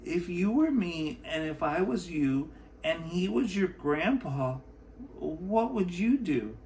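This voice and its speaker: A man's voice: high-pitched, lively intonation, takes it slowly, a little mellow, slight background noise, voice pushed up, heavily acted. A man reading a picture book, voicing a small, earnest second-grade girl who is tentative but determined. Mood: earnest pleading. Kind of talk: picture book read-aloud.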